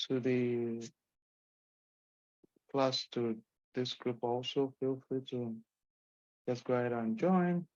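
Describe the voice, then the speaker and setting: A man from Nigeria with a Nigerian accent, an instructor answering questions in an online session in an emphatic, encouraging way. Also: medium pitch. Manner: encouraging, emphatic, folksy asides. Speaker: course instructor. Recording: muffled lo-fi call audio.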